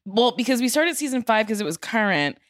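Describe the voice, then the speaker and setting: A woman's voice: on the high side, animated, brisk, a bit bright, dry recording, clean studio sound. A woman co-hosting a podcast in casual comedic banter, speaking in a playful, emphatic way. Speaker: podcast co-host.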